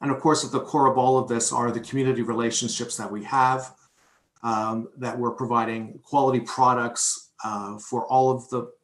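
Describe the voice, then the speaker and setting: A man's voice: medium pitch, level tone, normal speed, a bit bright, a little hiss or hum. A man giving a prepared presentation as a board vice chair at a public meeting. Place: indoor room on a video conference call. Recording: roomy echo on voice.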